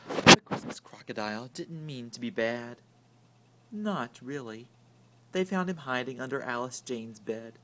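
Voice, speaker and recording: A man reading a children's picture book aloud with deliberate, dramatic storytelling. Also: medium pitch, animated, noisy background. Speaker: story reader. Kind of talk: expressive picture-book narration.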